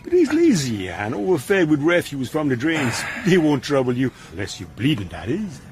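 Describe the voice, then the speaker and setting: Lively-pitched boat crewman. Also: moderate pace, background noise. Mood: wry, informative. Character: obliging male boat crewman, casually knowing about sharks. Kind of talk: radio drama acting.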